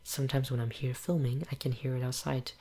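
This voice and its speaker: A young woman whose first language is Cantonese, chatting in a soft ASMR whisper at home, rambling with lots of fillers. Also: rumbling low, steady tone, fairly quick. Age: young adult. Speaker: ASMR channel creator. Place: home room with green screen.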